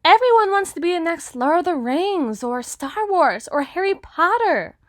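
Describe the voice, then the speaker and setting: A woman's voice: very high voice, big pitch swings, moderate pace, dry recording, studio quality. A woman playing a gushing, obsessively lovestruck teenage girl writer in an ASMR roleplay monologue, flustered and rambling. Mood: gushing, excited.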